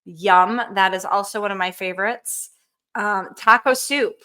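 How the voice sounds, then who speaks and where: A woman hosting a live canning Q&A, chatty and enthusiastic, with frequent ums and a slightly hoarse voice. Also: medium pitch, steady tone, unhurried, pushed and bright. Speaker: canning cookbook author hosting a live show. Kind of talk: casual live Q&A cooking demo.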